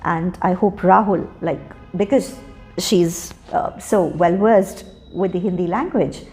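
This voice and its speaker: A woman's voice: lowish voice, takes it slowly, a little mellow, faint music behind. A woman hosting an interview show, formally introducing her guests and asking questions. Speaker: interview host.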